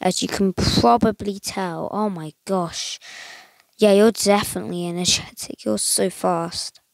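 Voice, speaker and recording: A young woman gives loose, meandering commentary while she plays, filling the gaps with likes and ums. Her voice sounds a bit croaky and gravelly, and her talk is slow and unhurried. The sound is dry and close, with no room around it. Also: mid-range voice, fairly even intonation, dry recording, low-quality recording. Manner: chatty, rambling with many fillers. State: slightly croaky voice. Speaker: gaming channel host. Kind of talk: casual gameplay commentary.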